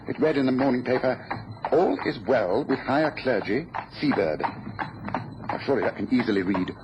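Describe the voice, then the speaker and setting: Guy acting a sharp british detective in old radio play, bossy and precise, lively pitch, muffled noisy recording. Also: lightly acted. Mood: confident, explanatory. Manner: brisk, precise, commanding, explanatory. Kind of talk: mid-century British radio drama acting.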